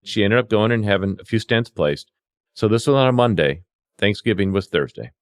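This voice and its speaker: Low-voiced man telling field stories. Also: expressive, brisk, no echo, clean studio sound. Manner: acts out exchanges with quoted dialogue. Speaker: paramedic and CPR instructor telling field stories. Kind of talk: animated anecdotal storytelling in conversation.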